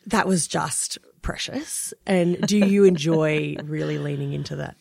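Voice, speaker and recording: A woman with a very low, gravelly voice hosts a podcast and puts curious, admiring questions to her guests. The recording is dry and close. She keeps the tone of a casual chat. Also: unhurried, a little mellow, no room sound. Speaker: podcast host.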